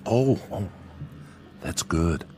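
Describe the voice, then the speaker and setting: Nervous, folksy farmer dad, swooping pitch. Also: male voice, expressive, mellow, exaggerated voice. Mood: anxious false cheer. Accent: American. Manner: folksy, halting, stammering, over-agreeable. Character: nervous farmer father, falsely cheerful, placating his son. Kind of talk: radio drama acting.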